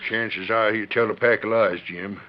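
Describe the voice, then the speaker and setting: A man's voice: lowish voice, steady tone, moderate pace, natural voice. Loyal old ranch hand played by a man, folksy and plain-spoken, muffled vintage radio western sound. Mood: confiding. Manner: folksy, rustic. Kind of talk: 1940s radio western acting. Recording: muffled, hissy 1940s radio recording.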